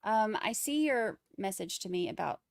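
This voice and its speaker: A woman's voice: medium pitch, expressive, moderate pace, creaky, no room sound. A woman with a Boston accent hosting a live trial commentary stream, chatting wryly and reading out viewer comments. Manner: chatty, wry, rambling asides.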